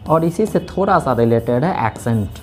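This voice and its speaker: A man's voice: medium pitch, animated, moderate pace, a little mellow, soft background music. A man teaching a class in speech, explaining step by step and answering his own questions. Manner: explaining step by step, keeps saying "theek hai"; asks questions and answers them himself. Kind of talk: classroom lecture.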